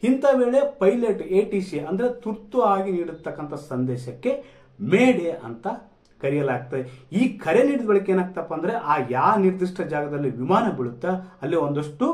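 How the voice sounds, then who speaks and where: A male news presenter speaks in a fairly high voice. He explains things to viewers with emphasis, restating key phrases as he goes. The room around him adds a clear echo. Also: expressive, brisk, a little mellow. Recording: echoey, reverberant room.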